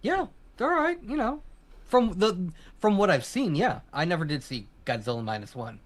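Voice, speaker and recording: Joking man in quick banter. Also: sits high, big pitch swings. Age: adult, a father. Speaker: podcast co-host. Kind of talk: casual chat.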